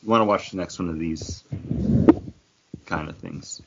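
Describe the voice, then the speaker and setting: A man's voice: deep voice, a little slow, gravelly, very noisy. A man hosting a podcast in a casual, conversational way. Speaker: podcast host. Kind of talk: casual chat. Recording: dull lo-fi audio.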